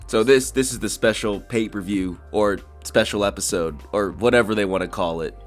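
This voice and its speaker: Young man, lowish voice, wry giggly recap, faint music behind. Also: normal speed. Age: young adult. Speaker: co-host. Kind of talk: casual wrestling chat.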